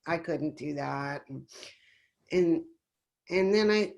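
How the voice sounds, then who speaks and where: A woman's voice: deep voice, slow, creaky, a little mellow, muffled recording. A woman chatting and telling an anecdote in a casual way on a video call. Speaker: musician, salon participant.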